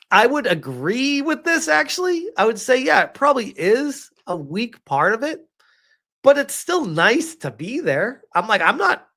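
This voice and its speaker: Animated male streamer with swooping pitch. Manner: exasperated, sarcastic, chuckling, swearing. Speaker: streamer reading and reacting to a game review with live chat. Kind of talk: casual livestream commentary.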